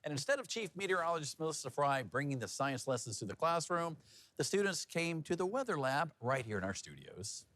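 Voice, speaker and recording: A man's voice: a little high, big pitch swings, a little fast, a bit bright, no echo. An American man anchoring TV news, with folksy, chatty asides between the scripted stories. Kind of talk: broadcast news with casual co-anchor banter.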